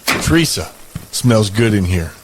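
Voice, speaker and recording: An actor in an audio drama playing a brutal gang boss and enforcer with a curt, commanding manner. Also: male voice, on the low side, very animated, a little slow, background noise.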